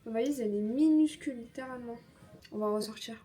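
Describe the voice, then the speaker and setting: A woman's voice: fairly high pitch, quick pace, mellow. A young woman from France chatting casually in a travel vlog, slangy and laughing often. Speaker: vlogger. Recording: music over the audio.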